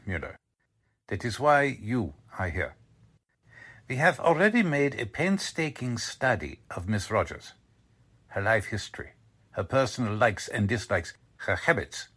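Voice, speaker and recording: A male Nazi spymaster in a wartime radio play acted by a man, courteous on the surface and pleased with his own scheme. His middling voice swings widely in pitch and has a slightly husky edge as he lays out orders deliberately. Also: fairly bright tone, exaggerated voice. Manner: courteous, deliberate, self-satisfied. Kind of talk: 1940s radio drama acting.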